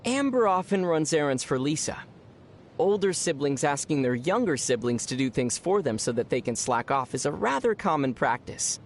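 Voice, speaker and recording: A woman playing a young male traveler hero in a video game, calm, dry and gently teasing. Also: low-pitched, slight background noise.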